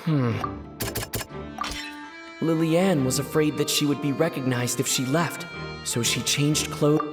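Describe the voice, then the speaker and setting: A composed young woman investigator in a game, with a low voice and a thoughtful, deliberate delivery over clear background music. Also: steady tone. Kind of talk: video game voice acting.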